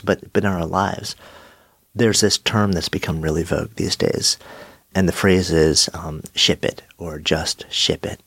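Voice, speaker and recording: A middle-aged man hosting his own podcast, talking reflectively with a slightly nasal voice from a cold and pausing often. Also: deep voice, fairly bright tone, slightly rough, dry recording. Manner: thoughtful, measured, with frequent pauses and fillers. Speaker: podcast host. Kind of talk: solo reflective podcast monologue.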